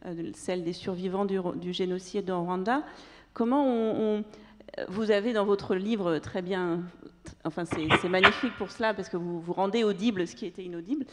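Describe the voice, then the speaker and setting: A woman moderating a live panel asks her questions haltingly, correcting herself as she goes. Her voice rings out with the long reverb of a theater hall. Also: mid-range voice, darkish tone, slight background noise. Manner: halting questions with many fillers. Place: theatre stage.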